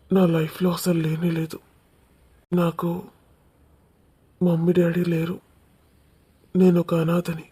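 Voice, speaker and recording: A woman voicing a caring, lovestruck young man in a cartoon romance, speaking in a soft, reassuring and earnest way. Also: lowish voice, breathy edge.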